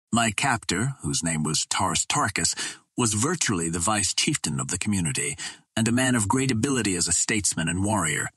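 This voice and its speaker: A man narrates a classic adventure audiobook in a fairly deep voice with a noticeably husky grain. His delivery is formal and measured, like careful storytelling from the page. The sound is dry, with very little room around it. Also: fairly bright tone, no echo.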